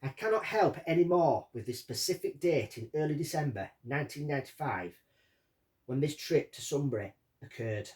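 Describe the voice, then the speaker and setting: A man reading out a witness statement and commenting on it as a true-crime host, in deliberate short phrases. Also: on the high side, expressive, darkish tone. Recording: distant from the microphone.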